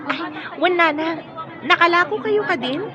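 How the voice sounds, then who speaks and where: A woman's voice: very high voice, moderate pace. A woman with an Ilocano accent playing an earnest, questioning young student daughter in a radio drama, on a dull lo-fi recording. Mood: polite, caring. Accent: Filipino, Ilocano. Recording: dull lo-fi audio, faint chatter.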